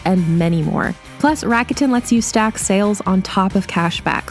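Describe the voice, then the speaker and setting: A woman narrating a scripted advertisement. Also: medium pitch. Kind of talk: scripted ad read.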